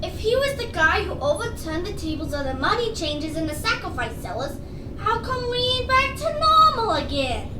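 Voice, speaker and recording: A dim-witted, eager gangster sidekick in a comic radio play, with a very high voice that swings up and down. The mobster slang is goofy and apologetic, and the room echoes around it. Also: very animated, moderate pace. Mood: puzzled, eager. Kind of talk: comic radio theater acting. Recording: roomy, echoing, clearly noisy recording.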